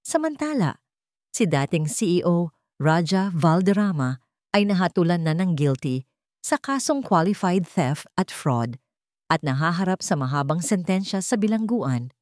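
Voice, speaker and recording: A woman's voice: lowish voice, darkish tone, no room sound, clean studio sound. A woman voicing a business news anchor who reads the report formally.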